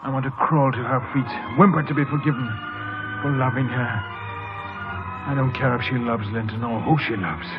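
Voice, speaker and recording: A man's voice: medium pitch, normal speed, natural voice. A man acting a bitter, lovesick, proud young man in a gothic radio romance, theatrical and intense. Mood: anguished longing. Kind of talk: 1940s-50s radio drama acting. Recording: tinny old radio broadcast, music behind.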